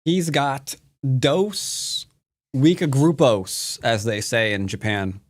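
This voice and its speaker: A man's voice: medium pitch, expressive, takes it slowly. A man from the United States commenting live on his own Go game, playful and teasing toward his opponent. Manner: playful trash talk. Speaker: streamer and Go teacher.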